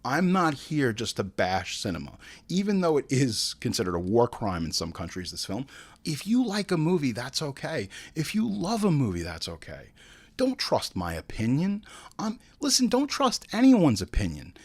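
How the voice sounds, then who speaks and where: A man's voice: mid-range voice, huge pitch swings, moderate pace, breathy edge. A man hosting a casual, comedic review show, talking in an emphatic, exasperated, slangy and profane way. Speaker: host of a movie review show.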